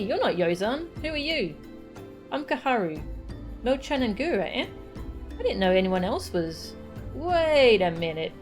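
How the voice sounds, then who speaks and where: A woman's voice: fairly high pitch, voice pushed up. Woman as a bubbly, inquisitive little kid. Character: young child hiding, inquisitive and friendly game character.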